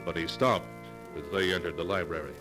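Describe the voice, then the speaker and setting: A man narrating a 1940s radio drama in a measured, grave manner. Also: low-pitched, fairly even intonation, over music.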